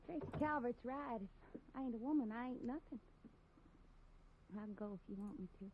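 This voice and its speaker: An actress playing a blunt, rough-spoken tomboy woman of the frontier in a 1950s radio drama. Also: on the high side, brisk, close to an everyday voice. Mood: awkward. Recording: muffled old radio recording with hiss.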